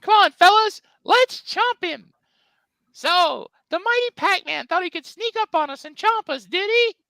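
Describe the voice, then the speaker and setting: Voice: very high-pitched, animated, measured pace, vocal fry, bright, forceful tone, dry recording, pitched above the performer's own voice, heavily acted. A performer voicing a bullying, gleeful cartoon ghost monster with an exaggerated, taunting delivery.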